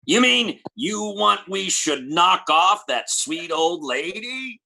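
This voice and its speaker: A man's voice: high voice, big pitch swings, slow, vocal fry, bright, forceful tone, full character voice. A man playing a tough, slangy gangster who blusters indignantly in an old-time radio detective drama. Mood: wary bargaining. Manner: streetwise hardboiled slang, exclamatory.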